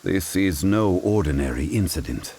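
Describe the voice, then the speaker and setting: A man playing a composed, scholarly gentleman in a video game, speaking formally and in a measured, deliberate way. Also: low-pitched, expressive, slight background noise. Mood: grave, composed. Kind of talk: video game character acting.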